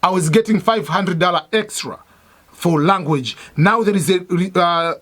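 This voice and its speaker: A man who is a native Swahili speaker, a military veteran, explaining things in a steady, repetitive way with many fillers and restarts. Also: sits high, moderate pace. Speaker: military veteran giving advice. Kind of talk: explanatory talk to immigrants.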